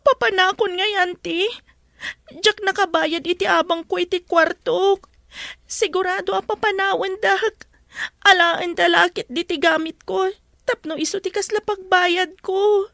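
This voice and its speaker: Distressed young working girl, very high voice, bright tone, plaintive, radio drama. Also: steady tone, unhurried, creaky, dry recording, studio quality, heavily acted. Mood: distressed pleading. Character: distressed young woman, a fired waitress pleading for help. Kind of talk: Filipino radio drama acting.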